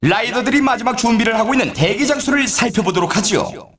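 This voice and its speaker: A man's voice: extremely high pitch, animated, fast talker, crowd noise. A man playing an upbeat, showy sports announcer in a video game, with polished, scripted commentary delivery. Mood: presenting. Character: male snowboard race announcer, upbeat and showy. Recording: echoey, distant-sounding game audio.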